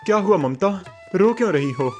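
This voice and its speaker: A man's voice: high-pitched, expressive, normal speed, darkish tone, pitched above the performer's own voice. A man voicing a humble, kind, desperate village father in a cartoon moral story, earnest and pleading. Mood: worried, despairing. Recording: music bed under the voice.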